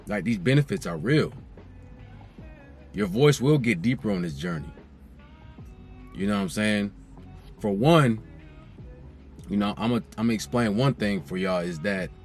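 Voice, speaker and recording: Young male vlogger, lowish voice, easygoing and repetitive, light music bed behind. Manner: laid-back, conversational, repeats himself for emphasis. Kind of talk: casual direct-to-camera vlog talk.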